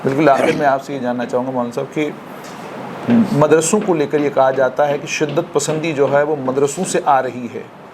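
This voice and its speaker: A man's voice: medium pitch, steady tone, normal speed, noisy background. A man working as a news interviewer, putting long, polite, formal questions in Urdu-leaning phrasing.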